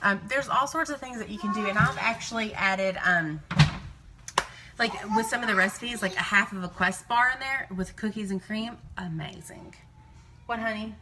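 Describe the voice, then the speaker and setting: A woman's voice: medium pitch, normal speed, background noise. A woman in her thirties chatting casually and digressively to live viewers as she records in her home kitchen. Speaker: live-streaming vlogger and meal-prep host.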